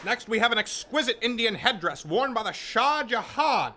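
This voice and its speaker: A man's voice: extremely high pitch, very animated, normal speed, noisy background. A man playing a fussy, formal auctioneer in an old-time radio adventure. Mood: brisk, formal. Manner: formal, repetitive auction calling. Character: fussy, obedient crooked auctioneer.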